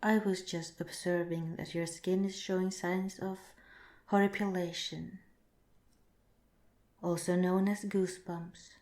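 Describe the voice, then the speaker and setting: Woman acting as clinical alien scientist, ASMR roleplay, very slow, soft and half-whispered, formal, calm, roomy echo. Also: on the low side, natural voice. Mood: clinical, curious. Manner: measured, formal, detached, scientific phrasing. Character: calm, clinical, curious alien researcher studying a captured human. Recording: roomy, echoing voice.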